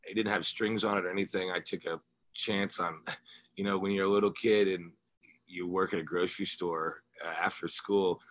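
A man's voice: low-pitched, fairly bright tone, dry recording. A man being interviewed as a musician, chatting informally and telling rambling anecdotes. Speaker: musician interview guest, rock singer and guitarist. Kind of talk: casual chat, telling anecdotes.

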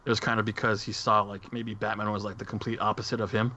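A man's voice: on the low side, flat delivery, quick pace, bright, forceful tone. A man co-hosting a podcast and chatting casually about movies, on a lo-fi recording. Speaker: podcast co-host. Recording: muffled lo-fi track with background noise.

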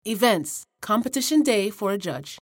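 Woman, scripted intro announcing, lively intonation, very dry recording. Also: medium pitch, normal speed, no echo.

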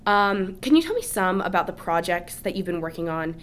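A woman's voice: fairly low pitch, sing-song, brisk, a little hiss or hum. A woman hosting a podcast, reading an eager scripted intro and asking her guest questions. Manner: upbeat, eager. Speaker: podcast host.

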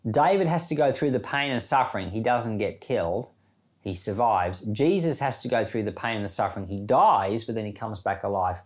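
A man's voice: on the low side, expressive, brisk. Conversational male pastor, reflective and plain-spoken. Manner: informal, reflective, plain-spoken, explaining with everyday examples. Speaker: pastor giving Bible podcast commentary and prayer. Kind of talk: conversational devotional sermon.

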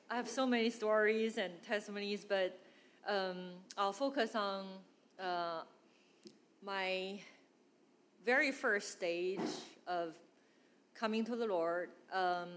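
A woman from northeast China with a Chinese accent, giving a personal church testimony haltingly, with many fillers and a runny nose from allergies. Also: medium pitch, fairly bright tone, a little hiss or hum. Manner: halting, frequent fillers, laughs between phrases.

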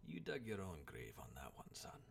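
A man's voice: on the low side, sing-song, normal speed, a little hiss or hum, voice pushed up. A man voicing a stoic, blunt older warrior mentor who scolds his pupil in an audiobook story.

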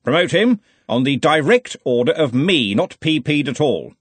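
A man's voice: a little high, lively intonation, moderate pace, slightly rough, no echo, pitched above the performer's own voice. A man voicing a brash, cheerful, domineering wizard arch-chancellor in a storybook, blustery and commanding. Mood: cheerfully forceful.

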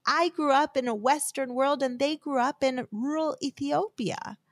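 A woman's voice: sits high, moderate pace, no echo. An American woman giving a heartfelt, scripted devotional talk that she tells as a personal story. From United States.

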